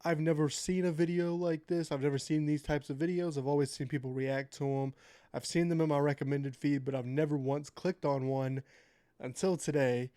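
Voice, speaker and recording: A man hosting a casual reaction video, sounding a little nasal from a cold, chatting in a laid-back way and reading out facts haltingly. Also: fairly high pitch, steady tone, dark tone, no room sound.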